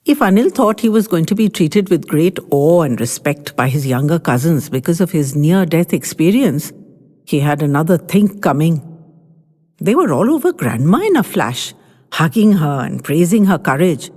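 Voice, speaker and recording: A woman narrating a family story in an expressive storybook manner. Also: low voice, moderate pace, big-room sound.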